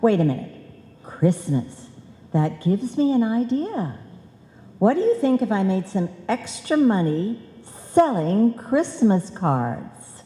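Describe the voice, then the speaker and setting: An actress playing a chatty, muddled, earnest housewife with money-making notions in an old-time radio comedy. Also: female voice, medium pitch, sing-song, slow pace, dark tone. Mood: excited scheming. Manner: muddled, earnest, malapropism-prone. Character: scatterbrained, chatty housewife eager to make money. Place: live performance in a reverberant hall. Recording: echoey hall, live stage performance.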